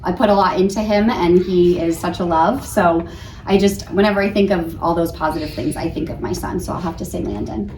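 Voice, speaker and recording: A woman's voice: fairly low pitch, brisk, darkish tone. American lady teacher chatting in an interview, earnest, mic kinda far off, bit of background noise. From New Hampshire, United States. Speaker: elementary school teacher being interviewed. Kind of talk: casual interview answers. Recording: roomy, distant mic, faint background noise.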